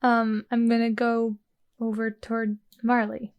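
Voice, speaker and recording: A woman's voice: on the high side, level tone, measured pace. A woman playing a tabletop game, chatting in an easygoing, casual way. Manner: easygoing, chatty, laughs often. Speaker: player.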